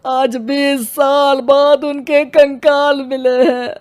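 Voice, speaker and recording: A heartbroken old village headman , voiced by a woman, speaks high and slow, his words shaking with tears. Each line drags as grief wears him down, in a cartoon horror tale. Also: level tone, darkish tone. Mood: grief-stricken. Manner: slow, sobbing, breaking into weeping. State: crying. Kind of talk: Hindi cartoon voice acting.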